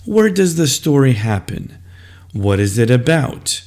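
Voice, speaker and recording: A man explaining classroom methods as a teacher, in a deliberate, step-by-step instructional style. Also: mid-range voice, sing-song, a little mellow.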